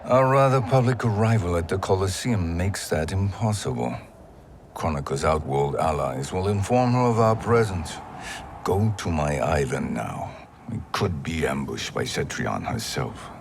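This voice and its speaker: Deep male voice, animated intonation, calculating sorcerer, self-assured, measured delivery, cinematic fantasy game. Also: vocal fry, slight background noise, exaggerated voice. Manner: measured, deliberate, persuasive. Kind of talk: cinematic video game acting.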